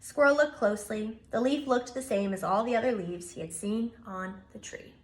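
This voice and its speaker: A woman's voice: a little high, normal speed. A woman preschool teacher speaking expressively as she leads a children's story time. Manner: expressive, animated delivery. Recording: roomy, echoey room.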